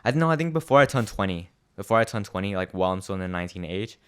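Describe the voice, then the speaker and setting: A man's voice: low-pitched, animated, rapid-fire, a bit bright, clean tone. A young man co-hosting a podcast, chatting casually and reflectively, rambling with many fillers. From Australia. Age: young adult. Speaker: podcast co-host. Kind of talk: casual chat.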